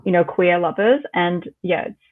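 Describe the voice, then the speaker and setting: A woman's voice: on the low side, moderate pace, phone-quality sound. A woman guest chatting casually and enthusiastically on a podcast, giggly, over a thin remote line. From Malaysian Chinese, living in Australia. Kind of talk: casual chat.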